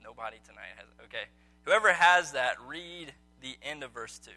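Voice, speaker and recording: Bright-voiced man teaching a Bible study. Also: a little high, expressive, normal speed, soft background music, low-quality recording. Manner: conversational, quizzes listeners, frequent ums. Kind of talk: casual teaching talk to a small group. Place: indoor meeting room with a group.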